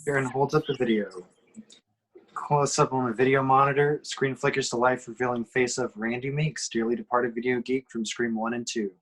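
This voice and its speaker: A man's voice: mid-range voice, little pitch movement, normal speed, fairly bright tone, low-quality recording. A man reading scene headings and action lines as scripted narration at an amateur table read. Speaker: reader of screenplay action descriptions.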